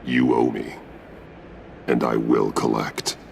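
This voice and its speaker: An actor playing a grim, cold masked vigilante in a video game, terse and stern, with a gravelly growl. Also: male voice, extremely low pitch, flat delivery, takes it slowly, slight background noise, full character voice.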